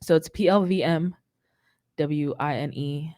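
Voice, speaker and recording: A woman's voice: deep voice, a little slow, a little mellow, dry recording. A young American woman hosting a music show, chatting casually into a studio mic. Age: young adult. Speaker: radio show host. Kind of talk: casual chat on a music livestream. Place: indoor studio. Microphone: boom-arm studio mic.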